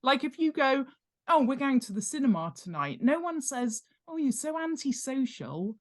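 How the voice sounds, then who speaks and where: A woman's voice: high voice, sing-song, moderate pace. A middle-aged British woman talking conversationally as an expert podcast guest, stressing points emphatically by repeating words. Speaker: guest, author and world-building expert. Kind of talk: conversational explanation in a podcast interview.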